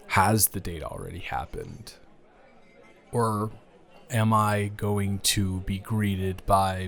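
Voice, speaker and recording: A man acts out a smitten young man in an intimate audio roleplay. His voice sits a little low and he takes his time, stammering and wandering through wordy, self-deprecating jokes. He comes across as awkward and jealous beneath the joking. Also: vocal fry, fairly bright tone, full character voice. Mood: awkward, teasing.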